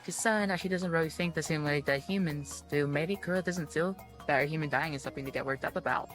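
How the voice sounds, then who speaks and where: Female game streamer, low register, informal read-through of visual novel narration, halting and stumbling, light music underneath. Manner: halting, stumbling over words. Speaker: video game streamer reading aloud.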